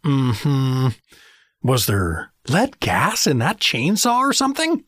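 Man playing a bewildered husky dad, animated. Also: medium pitch, takes it slowly, no echo, clean studio sound, full character voice. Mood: sarcastic. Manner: sarcastic, hesitant, flustered. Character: bewildered, wisecracking average suburban dad, adult man. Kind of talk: audio fiction comedy acting.

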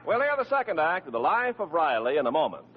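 A man's voice: a little high, big pitch swings, fast. A man doing scripted announcing on a 1940s radio show. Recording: dull, noisy old radio recording.

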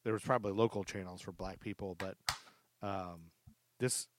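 A man's voice: sits low, steady tone, normal speed, background noise. A man hosting a podcast, chatting casually in loose, rambling phrases. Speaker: podcast host. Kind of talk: casual conversational podcast chat.

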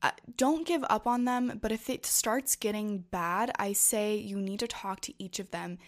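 A woman's voice: mid-range voice, moderate pace. A young woman giving casual, reassuring advice to viewers, talking in a chatty way full of fillers. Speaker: advice vlogger. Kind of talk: casual advice chat, reading viewer messages.

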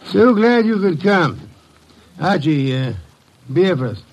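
An actor playing an eccentric, pompous, shrewd detective in a deliberate manner in an old-time radio drama. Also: male voice, sits high, animated, a little mellow, dull audio, a little hiss or hum, exaggerated voice. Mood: probing, wry. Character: eccentric, pompous, shrewd male private detective, orchid fancier.

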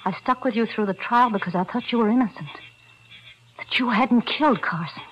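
An actress playing a killer's young girlfriend in a 1940s radio drama, quiet and earnest. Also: a little high, fairly quick, a little hiss or hum, lightly acted. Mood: bitter. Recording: dull 1940s radio broadcast.

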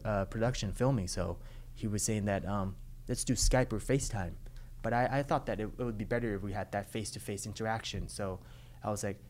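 A young man, an actor who grew up speaking Cantonese and English, answering interview questions in an earnest, relaxed way with fillers. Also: on the low side.

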